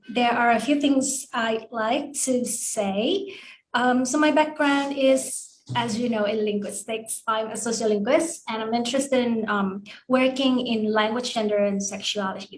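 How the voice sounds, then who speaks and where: A woman's voice: fairly high pitch, slight background noise. Woman lecturing in an echoey room. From Thailand. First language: Thai. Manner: polite, measured, explanatory. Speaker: guest lecturer, sociolinguist. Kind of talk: online academic lecture.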